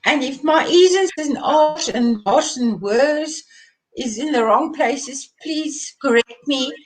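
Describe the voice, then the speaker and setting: A woman's voice: sits high, slow, low-quality recording. A woman whose first language is Afrikaans, speaking English with an Afrikaans accent as a crafter in a casual live interview, chatty and laughing often.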